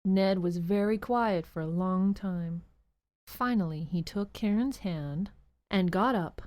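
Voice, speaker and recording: A woman narrating a scripted children's story in a measured way with dramatic pauses. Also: mid-range voice, darkish tone, no echo.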